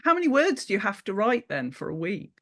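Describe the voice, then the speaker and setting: A woman's voice: medium pitch, sing-song, brisk. A woman hosting a podcast, putting questions to guests in a conversational panel interview.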